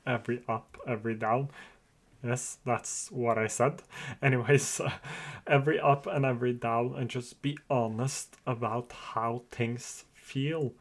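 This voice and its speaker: Slow, earnest man with a Norwegian accent. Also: medium pitch. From Norway. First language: Norwegian and Farsi. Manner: earnest, open and slightly hesitant. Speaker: podcast host. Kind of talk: casual solo podcast monologue.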